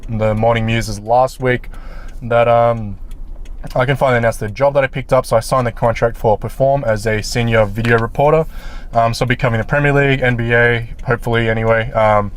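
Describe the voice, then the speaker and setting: A loud-voiced young man chatting casually to camera from his car, rambling with lots of ums and restarts. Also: mid-range voice. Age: young adult. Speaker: sports video vlogger. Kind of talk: casual vlog chat while driving. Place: inside a car in traffic. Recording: car recording with faint road noise. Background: faint traffic noise.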